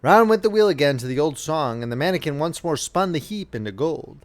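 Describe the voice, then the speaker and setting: A man narrating as an audiobook reader, telling a story in an expressive, measured, scripted style. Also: on the high side.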